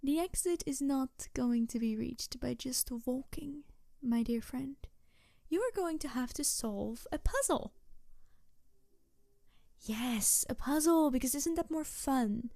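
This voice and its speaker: A woman playing a mischievous, excitable tanuki trickster spirit in a roleplay audio drama, teasing and flirty and easily huffy, talking straight to the listener. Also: high voice, slow pace, gravelly, no echo, studio quality, full character voice. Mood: playful, mischievous.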